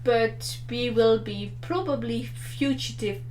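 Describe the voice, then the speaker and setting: A woman's voice: a little high, takes it slowly, darkish tone. A woman playing a tabletop roleplaying game, chatting casually in character, in an echoing room. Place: indoor room, group game livestream. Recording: echoey room with background hum.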